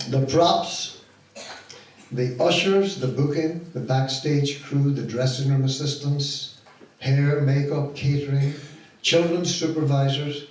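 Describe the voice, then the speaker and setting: A middle-aged or older man with a Donegal Irish accent gives an informal, deliberate thank-you speech to an audience as a pantomime director. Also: a little high, darkish tone. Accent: Irish, County Donegal. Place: stage of a community hall. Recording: very echoey hall, distant mic, faint noise.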